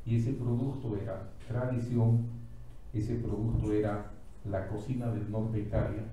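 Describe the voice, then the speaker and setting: A middle-aged man giving a conference talk, telling anecdotes in short, emphatic, deliberate phrases. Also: fairly low pitch, mellow. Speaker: conference speaker. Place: indoor auditorium, speaking at a podium. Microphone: distant camera microphone. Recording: echoey hall from far off, faint noise.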